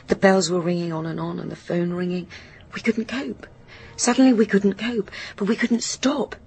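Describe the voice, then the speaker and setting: A woman playing a guilt-ridden, stubborn grown daughter in a radio drama, speaking in a halting, anguished, bitter confession that often drops to a murmur. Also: mid-range voice, fairly even intonation, darkish tone, a little hiss or hum, close to an everyday voice. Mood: remorseful, agitated.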